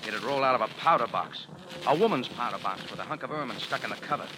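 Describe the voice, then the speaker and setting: An actor playing a tough, wisecracking bar owner and sleuth with an American accent, slangy and sardonic, in 1940s radio style. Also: male voice, mid-range voice, expressive, fast talker, over music, close to an everyday voice. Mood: brisk, intent. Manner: hard-boiled, slangy, sardonic. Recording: very noisy vintage radio recording.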